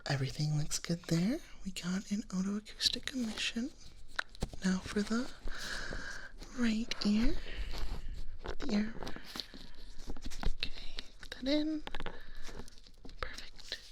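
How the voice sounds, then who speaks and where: A woman playing a gentle, reassuring dragon nurse in an ASMR roleplay, speaking in a soft breathy whisper with a soothing clinical manner. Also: mid-range voice, lively intonation, noisy background. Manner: slow, soothing, reassuring clinical bedside talk. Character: gentle reassuring female dragon nurse giving hearing exam.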